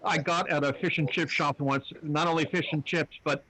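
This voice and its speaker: A man's voice: a little high, steady tone, moderate pace, a crowd in the background, rough recording. A man from North Carolina, chatting in a friendly, slightly hesitant way. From North Carolina, USA. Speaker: reading group member. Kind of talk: casual chat.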